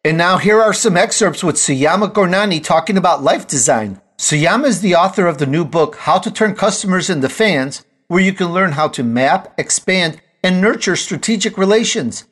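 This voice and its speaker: A male interview host with a slightly high voice who talks in an effusive, upbeat way. He heaps praise on his guests and sounds glad to have them on the show. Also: expressive, normal speed, hardly any pauses, fairly bright tone. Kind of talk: upbeat podcast interview hosting.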